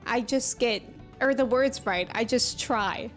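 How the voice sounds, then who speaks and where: A woman's voice: a little high, measured pace, soft background music. A woman hosting a game livestream, chatting casually in a playful, giggly manner. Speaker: game streamer and host.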